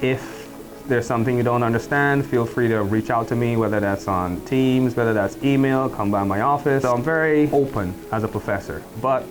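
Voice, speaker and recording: Man, Barbadian accent, middle-aged, measured explaining professor, mid pitch, music clearly behind. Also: rough recording. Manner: measured, explanatory, uses "you know" and "and whatnot". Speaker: professor and department chair. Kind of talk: faculty profile interview.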